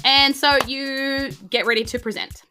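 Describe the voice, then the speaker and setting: A woman's voice: high-pitched, fairly even intonation, unhurried, sharp tone, a little hiss or hum. A woman narrating a tabletop game casually as game master, chatty and conversational. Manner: chatty, conversational, acts out reactions.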